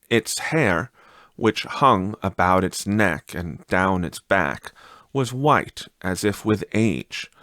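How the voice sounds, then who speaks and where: A man narrating a classic story as a measured, deliberate audiobook reader. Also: fairly low pitch, a bit bright, no echo, clean studio sound. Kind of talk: scripted literary narration.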